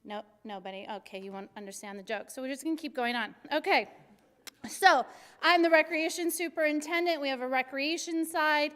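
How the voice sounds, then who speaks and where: Middle-aged city recreation chief, a woman from Arizona with a very high, bright voice, passionate and jokey, in a big reverberant hall. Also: fairly quick. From Arizona, USA. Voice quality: very high-pitched voice. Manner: energetic, passionate and joking. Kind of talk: civic presentation to a class.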